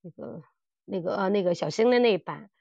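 A woman co-hosting a casual podcast chat, telling stories in an animated way and often repeating her phrases. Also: mid-range voice, a little fast, creaky, dry recording, dull audio. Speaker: podcast co-host. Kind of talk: casual chat about audio dramas.

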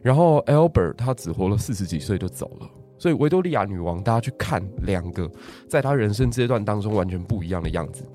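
A man, a guest history teacher, telling stories in lively casual podcast chat and laughing often. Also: on the low side, quick pace, few pauses, soft background music. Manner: lively storytelling with frequent laughs.

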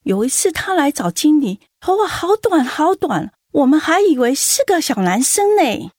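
A woman acting a chatty, nosy young office worker in a radio drama, with an expressive delivery. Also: high-pitched, normal speed, no room sound, studio quality. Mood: gossipy. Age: young adult. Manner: gossipy, expressive.

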